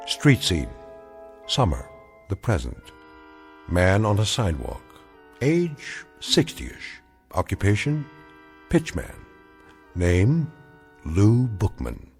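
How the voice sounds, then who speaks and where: An actor playing a grave, omniscient narrator of uncanny radio tales, speaking in clipped, portentous, measured phrases. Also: male voice, lowish voice, wildly swooping pitch, gravelly, a little mellow, exaggerated voice. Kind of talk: dramatic scripted radio narration. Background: music.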